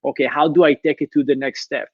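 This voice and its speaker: A man's voice: mid-range voice, steady tone, fast, no room sound. Israeli man telling his story, dull sound. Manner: earnest storytelling, rhetorical questions. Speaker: guest, business founder. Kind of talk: interview conversation.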